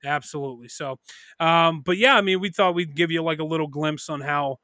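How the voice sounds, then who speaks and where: Podcast co-host, early 30s man, bright forceful tone, dry close sound, rambling and profane. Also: a little high, a little fast, no room sound. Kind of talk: casual podcast chat.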